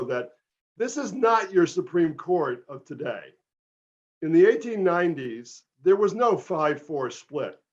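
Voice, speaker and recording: An older man, an author, explains a historical court case in a lecture-style library talk, pausing with fillers and correcting himself as he goes. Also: fairly high pitch. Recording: dull lo-fi recording.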